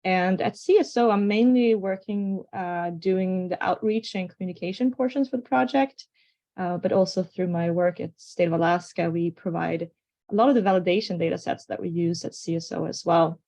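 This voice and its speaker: A woman with a Swedish accent, a guest scientist introducing herself on a video call in a relaxed, friendly way. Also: lowish voice, moderate pace. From Sweden. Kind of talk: casual self-introduction.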